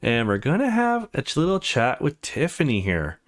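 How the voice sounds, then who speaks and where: Male let's play host, casual commentary, mid-range voice with highly animated intonation. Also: moderate pace.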